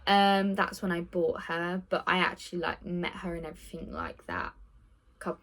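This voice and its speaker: A woman's voice: lowish voice, very animated, normal speed, fairly bright tone. A nineteen-year-old woman chatting casually in a get-to-know-me vlog, rambling with lots of ums and little laughs. She records on her phone indoors with the window open. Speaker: vlogger.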